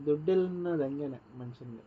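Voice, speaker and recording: A man's voice: on the high side, fast talker, breathy edge. A man on a phone call, resigned and sarcastic in an argument. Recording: dull phone call with faint hiss.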